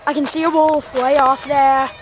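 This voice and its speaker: A woman's voice: sits high, little pitch movement, normal speed, music in the background. A young woman bantering in a dramatic, bossy way through an improvised mock nature documentary, recorded outdoors on noisy camera audio. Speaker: amateur video host. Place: outdoors in woods.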